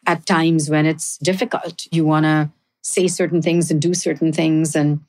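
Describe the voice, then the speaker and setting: A woman's voice: low voice, flat delivery, normal speed, darkish tone. A middle-aged woman with an Indian accent, answering interview questions in a candid, earnest and reflective way. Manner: candid, earnest, says "frankly" and "right?" often. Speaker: guest, health system executive.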